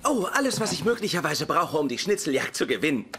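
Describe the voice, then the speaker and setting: A man's voice: fairly high pitch, sing-song, fast. An actor playing a fussy, pedantic, literal-minded male scientist in a German sitcom dub, speaking precisely. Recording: TV sitcom audio, loud background noise.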